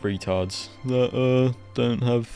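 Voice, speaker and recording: A man's voice: mid-range voice, takes it slowly. A man giving casual livestream game commentary, blunt and exasperated, with a sweary, ranting manner. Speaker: game streamer. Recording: game music behind voice.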